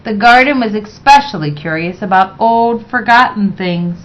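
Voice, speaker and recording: A woman reading a children's picture book aloud in a measured, expressive way. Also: mid-range voice. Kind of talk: read-aloud children's story narration. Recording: dull, lo-fi sound.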